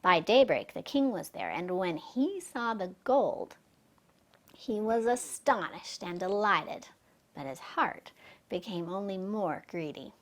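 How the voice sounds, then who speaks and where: A woman's voice: mid-range voice, sing-song, slow pace. A woman storyteller reading a fairy tale expressively for children.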